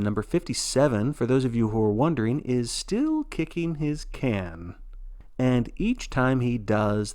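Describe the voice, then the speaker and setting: A man delivers scripted play-by-play like a sports broadcaster telling a story. His pitch moves around in a lively way, with wry, folksy asides. The sound is dry and close. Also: no echo. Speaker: narrator playing a sports broadcaster.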